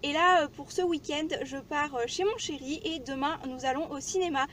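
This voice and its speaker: A woman with a high-pitched voice chats informally, as if filming a casual vlog. Her delivery is chatty and everyday. The recording carries some light background noise. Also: normal speed, fairly bright tone. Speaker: vlogger.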